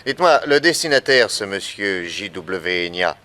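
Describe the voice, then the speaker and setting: A shrewd, level-headed detective in an old radio play, with a lowish voice that stays measured as he reasons things through. He sounds unhurried and sure of himself. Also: sing-song, sharp tone, clean tone, exaggerated voice. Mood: calm. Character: calm shrewd male detective, middle-aged. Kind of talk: French radio drama acting.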